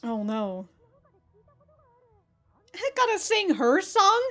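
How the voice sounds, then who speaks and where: High-pitched woman giving casual reaction commentary. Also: slow pace, faint music behind. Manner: excitable, laughs often, swears freely. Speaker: reaction video host.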